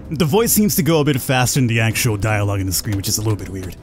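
A man's voice: lowish voice, sing-song, rapid-fire, soft background music. A man giving casual commentary as host of a gaming video.

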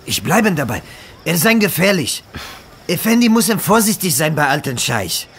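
Superstitious Egyptian servant, audio drama: high-pitched, urgent, broken speech. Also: male voice, sing-song, normal speed, a bit bright, a little hiss or hum. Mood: fearful warning. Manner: broken grammar, urgent warning. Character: anxious, superstitious Egyptian manservant. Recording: music under the voice.

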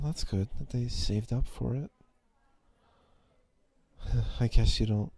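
A man playing a quiet, obsessive, possessive schoolboy in an ASMR roleplay, halting and breathy. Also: on the low side, no echo. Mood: hesitant, hurt. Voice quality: husky, breathy. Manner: halting, stammering, brooding. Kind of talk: ASMR roleplay, intimate acted monologue.

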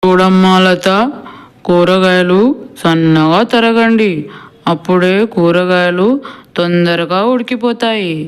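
A woman playing a practical village mother in a cartoon story, with a smooth voice, giving instructions. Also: on the low side, slow pace. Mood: instructing. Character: practical village mother directing the family cooking. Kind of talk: scripted cartoon story dialogue.

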